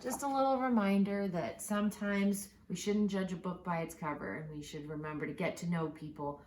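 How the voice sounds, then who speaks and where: Female storybook reader, slightly low-pitched, expressive, stretching key words and pausing for drama. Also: a little hiss or hum, rough recording. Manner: expressive, with dramatic pauses and drawn-out emphasized words. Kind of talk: read-aloud picture book narration.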